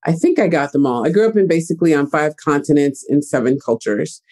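Chatty young guy telling his story in an interview, mellow tone. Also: fairly high pitch, animated, brisk. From United States. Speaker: guest. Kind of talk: casual interview talk.

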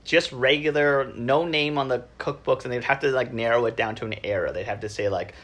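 A man chatting casually as a podcast guest, with an animated, self-deprecating, rambling manner. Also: mid-range voice, a little fast. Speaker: podcast guest, library worker. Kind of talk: casual conversational interview.

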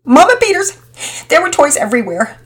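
A woman voicing a distraught young girl who talks high and fast, pleading and whiny. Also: big pitch swings, sharp tone. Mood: upset. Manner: high-pitched, rushed, pleading.

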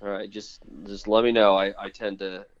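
A man in his forties chats as a podcast guest, stopping to fill gaps with hesitant pauses. His voice sits low and comes through a dull, muffled phone line. There is no room sound around him at all. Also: level tone, no room sound. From United States. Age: 40s. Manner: hesitant, many filler pauses. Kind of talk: casual chat. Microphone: phone on speaker.

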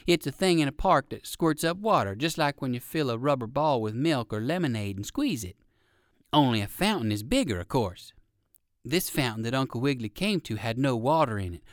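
Animated man reading a children's story. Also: medium pitch, no room sound, studio quality. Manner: expressive, chatty asides to young listeners. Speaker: audiobook narrator. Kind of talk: scripted children's storybook narration.

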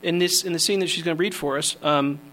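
A man introduces readers at a literary event, his voice a bit higher than most men's. He speaks haltingly, filling gaps with ums and restarting his sentences. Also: steady tone, fairly bright tone, faint music behind. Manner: halting, lots of fillers. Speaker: host of a reading series.

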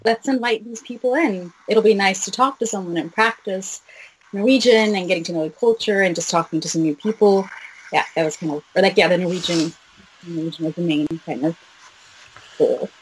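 A young woman from Norway, a film director, answering interview questions in a relaxed, hesitant way with many "uh"s and "like"s. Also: medium pitch, normal speed, slight background noise, poor audio.

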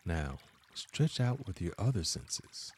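A man narrating a scripted guided meditation as host, in measured, deliberate phrases with frequent pauses. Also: sits low, very animated, a little hiss or hum.

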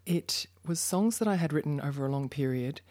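A woman's voice: low-pitched, brisk. An Australian woman, a poet and musician, talking as an interview guest, reflective and full of stories. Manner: reflective, storytelling, lively asides. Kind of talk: casual interview chat.

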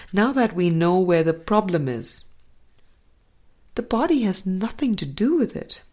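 A woman reading a spiritual book aloud and commenting on it, in a measured, deliberate way with soft, trailing endings. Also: fairly low pitch.

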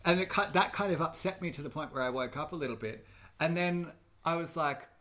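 A man's voice: on the high side, a little fast, bright, forceful tone. A man, chatting casually in a rambling, self-deprecating and hyperbolic way. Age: 30s. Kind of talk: casual chat.

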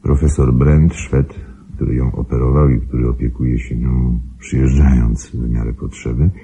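An actor playing a grave, secretive wealthy husband in a radio drama, speaking formally with measured control in a gravelly, husky voice. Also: male voice, extremely low pitch, flat delivery, mellow, exaggerated voice. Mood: formal, guarded. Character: grave, secretive wealthy husband guarding his invalid wife.

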